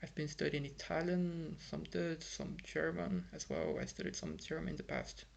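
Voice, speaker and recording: A man's voice: fairly high pitch, very animated, normal speed, gravelly. A young Brazilian man whose first language is Portuguese, speaking English with a Brazilian accent in a frustrated, rambling vlog full of fillers and restarts. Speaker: vlogger. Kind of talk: casual vlog monologue. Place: home in Ireland.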